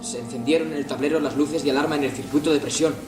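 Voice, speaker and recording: A man's voice: fairly high pitch, fairly even intonation, quick pace, music in the background, lightly acted. An actor playing an alert, businesslike male pilot giving clipped reports in a radio drama. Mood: alarmed.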